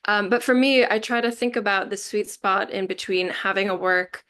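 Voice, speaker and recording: A woman's voice: medium pitch, normal speed, fairly bright tone, clear, resonant tone. A woman artist discussing their work conversationally, reflective and hesitant as they think aloud. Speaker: artist, panel guest.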